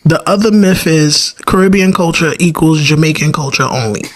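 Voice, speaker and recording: A man's voice: fairly high pitch, normal speed. Matter-of-fact man listing points.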